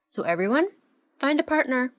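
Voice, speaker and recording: A woman's voice: high voice, big pitch swings, moderate pace, background noise. A woman playing a friendly, organised schoolteacher in a fan-made anime audio drama, addressing a class with instructions. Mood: instructive.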